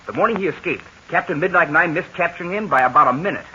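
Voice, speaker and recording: An eager young sidekick. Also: male voice, a little high. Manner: eager, quick, excitable. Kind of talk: 1940s radio acting. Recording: muffled old radio recording with faint hiss.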